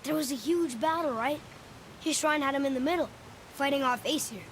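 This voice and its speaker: A man's voice: very high-pitched, fairly quick, fairly bright tone, a little hiss or hum. A young male actor playing a curious, earnest boy companion in a video game. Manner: inquisitive, earnest.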